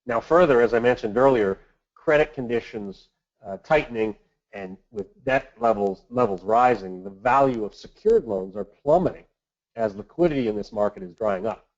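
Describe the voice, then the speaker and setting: A man with a fairly low voice delivers market commentary on a conference call. He speaks in a measured, formal way, as if working from prepared notes. The audio is somewhat dull and lo-fi. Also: animated. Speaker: financial analyst hosting a market update.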